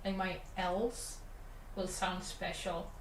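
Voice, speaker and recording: A woman's voice: fairly low pitch, takes it slowly. A woman from Catalonia with a Spanish Catalan accent and Catalan as her first language, chatting casually with her stream while she animates. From Catalonia, Spain. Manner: relaxed, rambling, talks to chat while working. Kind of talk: casual livestream chat while drawing. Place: animation studio room. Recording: roomy, faint background hum.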